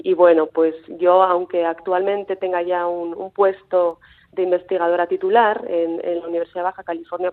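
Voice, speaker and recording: A Basque-born woman in her thirties with a Mexican-tinged Spanish accent, a coastal researcher speaking hesitantly with many fillers and restarts. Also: fairly low pitch. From Basque Country. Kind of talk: phone interview. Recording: tinny phone line.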